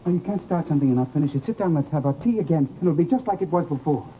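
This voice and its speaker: An actor in an old-time radio horror drama playing an unhinged, softly insistent grieving widower, halting, coaxing and pleading. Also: male voice, a little high, lively intonation, highs cut off, a little hiss or hum, lightly acted. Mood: agitated, insistent.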